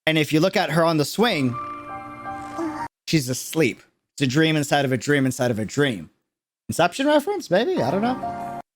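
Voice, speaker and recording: Animated, higher-voiced man reacting on livestream. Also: normal speed, long pauses, music in the background. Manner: animated, slangy, thinking aloud. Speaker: reaction streamer. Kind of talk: casual livestream reaction commentary.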